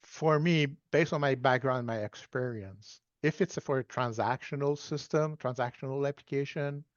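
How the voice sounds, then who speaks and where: A man in his sixties with a French Canadian accent, speaking English as his second language in a measured, gently self-deprecating way. His voice sits in an ordinary middle register. The sound is close and dry but slightly muffled and lo-fi. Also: medium pitch, no echo. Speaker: guest data management consultant. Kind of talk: relaxed explanatory interview answers.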